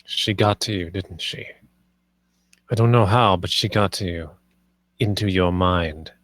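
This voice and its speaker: A grave lord of the house played by a man in a dramatic table read. His voice is very low and slow, commanding and deliberate, with a dry sound. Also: a bit bright, no room sound. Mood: accusing, intense. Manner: deliberate, authoritative.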